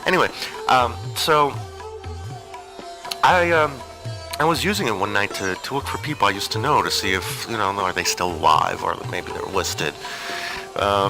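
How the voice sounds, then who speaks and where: A man's voice: fairly low pitch, rough recording. A man giving an informal, rambling personal monologue with a hesitant, digressive and wry way of talking. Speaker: storyteller on an audio zine.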